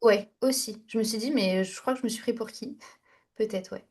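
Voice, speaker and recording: A woman's voice: fairly high pitch, a little fast, a little mellow, slightly rough. Chatty young woman telling her story. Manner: candid, chatty, many fillers and restarts. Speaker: podcast guest, entrepreneur. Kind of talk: casual interview storytelling.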